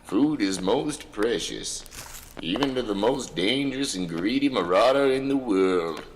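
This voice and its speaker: A man's voice: on the low side, expressive, measured pace, big-room sound, slight background noise. A man playing a wary, practical backwoods father in an audio comedy drama, with folksy rural phrasing. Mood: dry, dismissive.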